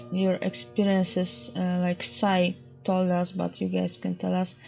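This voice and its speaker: Woman hosting a chat, gravelly voice, kinda dull lo-fi call audio, hunts for her english words. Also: mid-range voice. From Poland. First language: Polish. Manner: hesitant, searching for English words. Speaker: podcast host. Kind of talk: casual online group chat.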